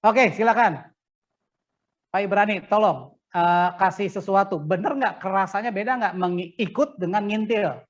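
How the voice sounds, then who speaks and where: A man with an Indonesian accent lecturing as a preacher in a conversational, animated teaching manner, often asking rhetorical questions. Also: sits high, normal speed. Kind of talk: interactive sermon-preparation lecture. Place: online class over video call. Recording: dull lo-fi online call audio.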